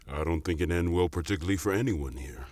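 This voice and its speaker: A seasoned, benevolent fantasy statesman, played by a man, speaks in an extremely deep voice with a dry, close sound. He is calm and conciliatory, with gentle amusement. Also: dry recording. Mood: amused. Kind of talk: dramatic audio roleplay acting.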